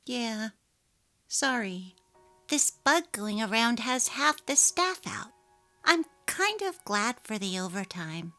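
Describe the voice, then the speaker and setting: A woman's voice: fairly high pitch, very animated, slow, sharp tone, full character voice. A woman voicing an apologetic, evasive young working wife and mother in a dramatic story reading. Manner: apologetic, placating. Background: faint music.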